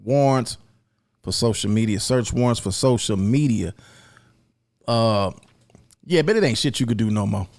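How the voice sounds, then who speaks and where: A man's voice: lowish voice, normal speed, pauses often, darkish tone. A man co-hosting with casual, blunt commentary, swearing and repeating his points for emphasis.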